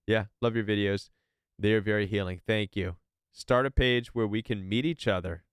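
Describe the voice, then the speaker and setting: Male live-stream host, loose rambling talker, slightly low resonant voice. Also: fairly even intonation, moderate pace, smooth, dry recording, studio quality. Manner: rambling, with frequent restarts and stammered repeats; reads viewer comments aloud. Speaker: podcast host.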